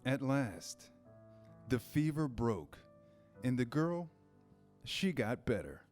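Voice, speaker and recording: A man narrating a scripted children's story in measured, pausing phrases. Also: medium pitch, sing-song, a little mellow. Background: music, guitar.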